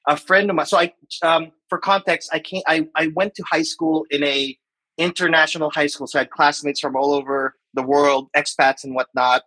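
Guest, man in his 40s from the Philippines, bright and forceful tone, reflective. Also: on the high side, fairly even intonation, normal speed, rough recording. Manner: reflective, with many fillers and restarts. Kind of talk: casual interview talk.

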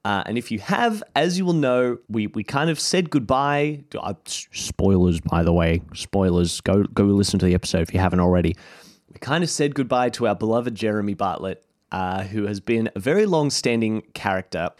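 A man's voice: lowish voice, animated, moderate pace, a little mellow, no echo, clean studio sound. A man hosting a podcast, speaking to listeners in an informal, rambling introduction and often correcting himself. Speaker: podcast host introducing an archive episode. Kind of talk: casual spoken introduction to listeners.

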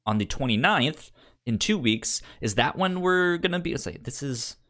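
A man co-hosting a podcast in casual conversation, speaking haltingly and often repeating or tripping over words. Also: a little high, lively intonation, a bit bright. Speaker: podcast co-host. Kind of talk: casual chat.

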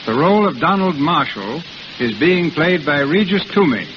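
A man announcing a 1930s radio drama in a crisp, formal delivery. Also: a little high, sing-song, normal speed. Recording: hissy muffled old radio.

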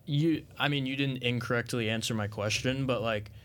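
Young male host, average pitch, easygoing conversational questions. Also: moderate pace. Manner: loose, conversational. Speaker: podcast host. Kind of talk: casual interview chat.

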